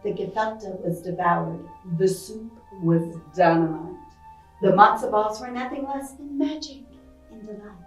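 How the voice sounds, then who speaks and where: A woman reading a picture book aloud to children, expressive and playful, with chatty asides and questions to her young listeners. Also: medium pitch, measured pace, reverberant, distant mic, faint music behind, poor audio. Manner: expressive, playful, acting out sound effects. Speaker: library storytime reader.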